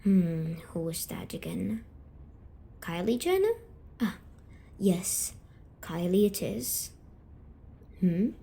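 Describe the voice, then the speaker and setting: A woman voicing a gentle, wounded female sleep paralysis demon in an ASMR roleplay, coaxing softly and deliberately with breathy half-whispers. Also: fairly low pitch, expressive, full character voice. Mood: coaxing, teasing. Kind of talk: slow ASMR storytelling roleplay.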